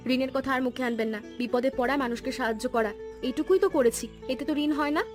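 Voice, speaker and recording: A woman voicing a modest, practical, kind village wife in a cartoon story, matter-of-fact and gently teasing. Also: high-pitched, fast talker, over music, natural voice. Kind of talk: Bengali cartoon story voice acting.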